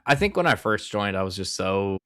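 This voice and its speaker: A man's voice: on the low side, sing-song, brisk, pushed and bright, dry recording, studio quality. A young man in his twenties hosting a podcast, talking casually and rambling with lots of fillers. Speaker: podcast host. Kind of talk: casual chat.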